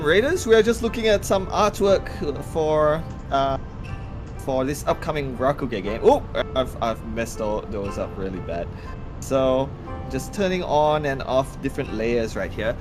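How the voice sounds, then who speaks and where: A middle-aged man with a medium-pitched voice chats casually as he demonstrates things on a livestream. Music plays clearly behind his talk. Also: lively intonation, a little slow. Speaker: livestream co-host and game artist. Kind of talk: casual chat, screen demonstration.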